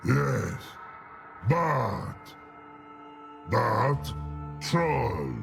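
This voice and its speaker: Slow, gravelly, dim-witted troll. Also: male voice, mid-range voice, lively intonation, soft background music, full character voice. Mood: guilty, sorrowful. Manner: broken grammar in short halting fragments. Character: huge dim-witted, childlike, sorrowful troll guard.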